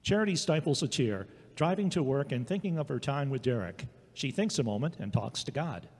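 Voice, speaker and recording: A man's voice: medium pitch, animated, brisk, a little mellow, breathy edge. A man giving scripted narration for an audio drama. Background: guitar music.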